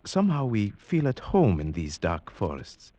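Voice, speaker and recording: Brooding, courtly Slavic gentleman, low voice. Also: huge pitch swings, highs cut off. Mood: courtly, genial. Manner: formal, polite, measured. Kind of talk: 1940s-50s radio drama acting. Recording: old radio drama recording.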